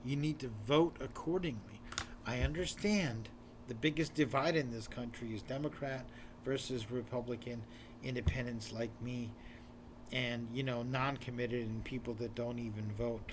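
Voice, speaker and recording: A hoarse man, an author speaking to his viewers in an earnest, repetitive, urging way. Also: mid-range voice, fairly bright tone, a little hiss or hum. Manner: urgent, repetitive, exhorting the viewer. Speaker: author presenting his book in a video series. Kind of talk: earnest direct-to-camera talk.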